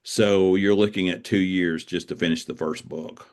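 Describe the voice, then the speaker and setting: A middle-aged man speaking casually as an interview guest, explaining things in a halting way and repeating words. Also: sits low, fairly even intonation, darkish tone. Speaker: guest, author.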